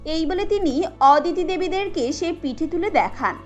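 High-pitched woman narrating a story. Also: expressive, normal speed, a little mellow, highs cut off, soft background music. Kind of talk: scripted cartoon story narration.

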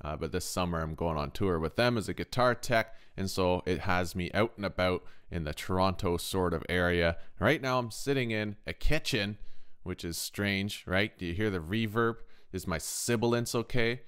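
A man hosting a casual software tutorial from a kitchen, chatty and informal, often checking in with questions. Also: low-pitched, normal speed, clear, resonant tone. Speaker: tutorial host, touring guitar tech.

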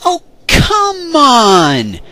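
A man's voice: very high voice, wildly swooping pitch, drawn-out words, pushed and bright, exaggerated voice. A man voicing a cocky, whiny, dim young adventurer in a parody dub. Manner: whiny complaining, smug. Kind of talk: fan parody cartoon dub.

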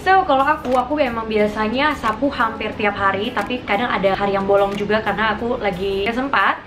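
A woman's voice: on the high side, brisk. A young adult woman, chatting casually like a vlogger and mixing in bits of English. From Singkawang, Indonesia. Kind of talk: casual vlog chat mixing Indonesian and some English. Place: apartment bedroom, indoors. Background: background music.

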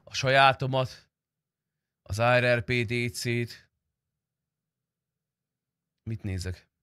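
A man's voice: mid-range voice, sharp tone, smooth, no echo, studio quality. A young man chatting casually on a game livestream in informal, slangy, sometimes profane speech. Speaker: game streamer.

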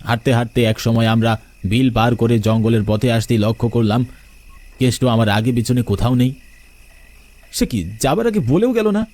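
A man's voice: medium pitch, normal speed, a little mellow. A man narrating a first-person horror story in a dramatic, suspenseful way.

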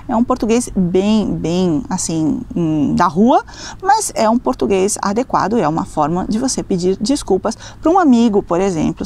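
A woman in her forties giving a beginner language lesson outdoors, speaking clearly and carefully into a phone held at arm's length. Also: mid-range voice, darkish tone. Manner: clear, slow, careful teaching delivery with repeated example phrases. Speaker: language teacher. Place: outdoors on a seaside cliff. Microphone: phone camera at arm's length.

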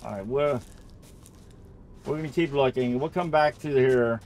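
A man's voice: mid-range voice, unhurried, darkish tone, slight background noise. A man giving casual let's-play commentary, thinking aloud and often repeating his phrases as he goes. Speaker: gamer narrating own playthrough.

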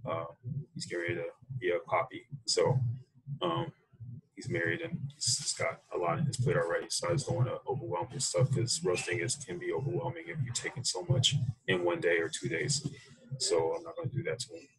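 Very deep, gravelly American man. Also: big pitch swings, a bit bright, rough recording. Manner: halting, thoughtful, unhurried phrasing. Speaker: interview guest, coffee roaster. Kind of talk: reflective casual interview talk. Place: small room indoors, video call. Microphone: computer mic on a video call.

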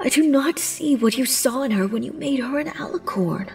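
A woman's voice: high-pitched, expressive, moderate pace, vocal fry. A woman playing a grieving, remorseful pony princess of the night in a fan audio drama, speaking formally and often near a whisper. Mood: solemn regret. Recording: distant, music underneath.

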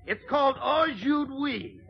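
A man's voice: extremely high pitch, normal speed, creaky. An actor playing a pushy, wisecracking male perfume clerk in a 1940s radio comedy, with a brash delivery. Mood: salesman patter. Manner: loud, brash comic delivery. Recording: dull vintage radio recording, audience behind.

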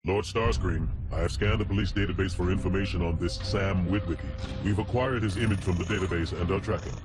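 A man's voice: deep voice, fast talker, natural voice. A man playing a menacing, dutiful robot henchman in a video game, reporting in a clipped military manner. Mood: sinister, businesslike. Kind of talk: video game cutscene acting.

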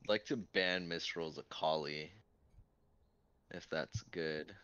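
Deep, smooth-voiced man on muffled voice chat. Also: slow, fairly bright tone. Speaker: gamer planning team bans. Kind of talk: casual strategy chat with friends. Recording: dull lo-fi voice chat.

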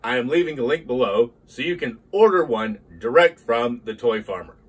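A man's voice: mid-range voice, animated, normal speed, husky. A middle-aged man hosting a toy model channel, moving from scripted history narration into an enthusiastic, casual product review. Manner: enthusiastic, emphatic repetitions.

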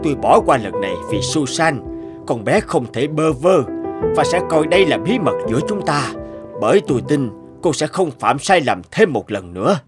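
An actor playing a kindly older policeman in a cartoon, speaking in an earnest, measured and principled way. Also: a little high, sing-song, over loud music. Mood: earnest, firm. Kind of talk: animated cartoon dubbing.

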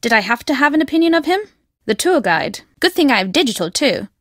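A fervent, cryptic alien believer. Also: female voice, sits high, sing-song, quick pace, dry recording, clean studio sound. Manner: curt and cryptic, turning fervent. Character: secretive adult woman, zealous believer in ancient aliens. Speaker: video game character. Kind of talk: recorded game voice lines.

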